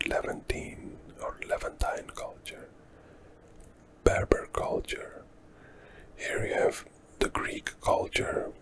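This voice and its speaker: Dutch man, native Dutch speaker, soft unvoiced ASMR whisper with lively swings, unhurried. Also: very high voice, creaky. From Netherlands. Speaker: ASMR history channel host. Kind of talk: whispered ASMR map walkthrough.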